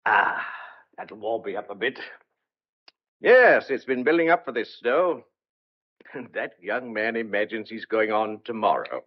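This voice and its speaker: A man's voice: on the low side, expressive, measured pace. An actor playing an opinionated, teasing older country father in an old-fashioned audio drama. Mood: genial, grumbling. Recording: dull, muffled lo-fi audio.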